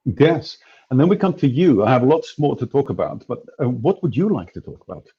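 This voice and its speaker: A man's voice: medium pitch, big pitch swings, a little fast, mellow, husky, muffled recording. A man organizing an event, talking casually on a video call, hesitant with many fillers and restarts. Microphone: headphones on a video call.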